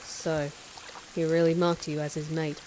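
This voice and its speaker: A woman's voice: low voice, little pitch movement, a little slow, warm tone, a little hiss or hum, rough recording. A woman voicing a proud, haughty young werewolf pack beta in an audio drama, speaking in a curt, formal, disdainful manner. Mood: probing, serious. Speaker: voice actor in an audio roleplay. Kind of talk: scripted audio drama acting.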